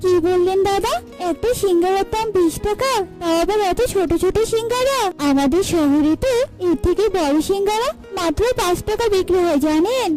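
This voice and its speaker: A woman's voice: very high-pitched, expressive, fairly quick, music in the background, low-quality recording. A woman voicing a kind, principled mother bird in a children's cartoon, chatty, earnest and motherly. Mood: indignant.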